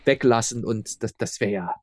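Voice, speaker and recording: A man answering casually as an interview guest, explaining haltingly and often repeating his words. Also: mid-range voice, no room sound, studio quality. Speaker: audio drama maker being interviewed.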